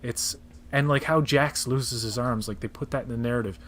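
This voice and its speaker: A man's voice: mid-range voice, fairly even intonation, moderate pace, a bit bright, slight background noise. A man chatting casually and enthusiastically, with strong opinions, as a panelist on a pop culture show. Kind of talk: casual chat about movie trailers.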